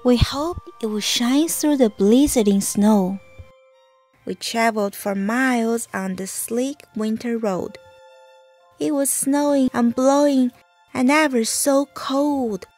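A woman reading a rhyming children's bedtime story aloud with deliberate, expressive phrasing. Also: on the high side, faint music behind. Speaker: mother reading a picture book aloud.

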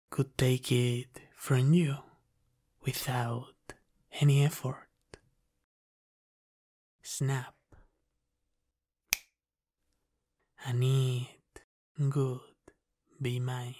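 A man voicing a flirty, dominant demon from the underworld in an intimate ASMR roleplay, soft, breathy and often half-whispered. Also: medium pitch. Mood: menacing, playful. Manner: slow, teasing, dominant.

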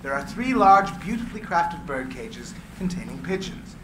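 A man reading the stage directions aloud at a live script reading, evenly and clearly. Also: fairly high pitch, very animated, normal speed. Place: small room with seated audience. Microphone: far camera. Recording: echoey room with faint hiss.

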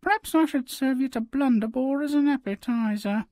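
A woman's voice: high-pitched, moderate pace, mellow, no echo, lightly acted. A woman voicing a haughty, cunning giant's wife, theatrical and scolding, in a cartoonish game. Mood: scornful. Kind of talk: cartoonish video game acting.

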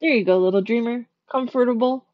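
A woman voicing a sweet, shy female dream eater spirit in a soft, gentle, soothing ASMR sleep-aid roleplay. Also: a little high, fairly quick, highs cut off, slight background noise, close to an everyday voice.

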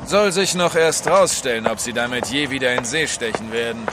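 An actor playing a coarse, menacing sailor bully in a German audio drama, drawling and taunting. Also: male voice, mid-range voice, normal speed, background noise, close to an everyday voice. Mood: threatening. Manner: drawling, taunting, with leering chuckles.

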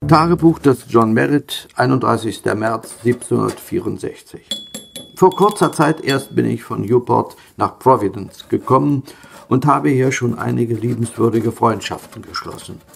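An actor playing an earnest, troubled gentleman reading his diary in an audio drama, measured and formal, with a creaky voice. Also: mid-range voice, lively intonation, a little hiss or hum. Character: earnest male gentleman diarist, troubled, open-minded.

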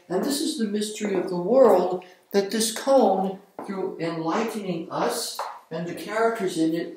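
A calm, unhurried voice talking through a Zen teaching in a slow, meditative way. It is heard from well away from the microphone, and the sound bounces hard around a small, bare indoor room. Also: mid-range voice. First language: not Japanese. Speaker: vlogger. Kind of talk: reflective monologue on a Zen koan. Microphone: far camera on the floor. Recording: very echoey room, voice from far off.

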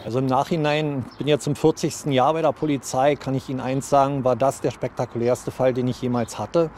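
A man's voice: mid-range voice, flat delivery, a little fast, a little mellow. A man in his fifties, a police spokesman, recalling his work in an interview outdoors. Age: 50s. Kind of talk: interview recollection. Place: outdoors by a building. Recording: faint outdoor street noise.

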